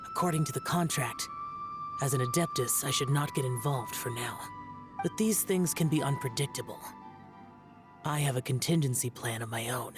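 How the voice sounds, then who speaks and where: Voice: medium pitch, close to an everyday voice. Actor voicing an ancient, solemn guardian spirit. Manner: measured, solemn, commanding. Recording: game dialogue with loud music behind.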